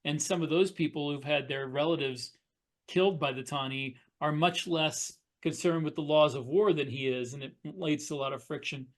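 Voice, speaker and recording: Male podcast co-host and author, slightly higher register, conversational, thinks aloud with a halting delivery. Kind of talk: casual discussion of fiction writing.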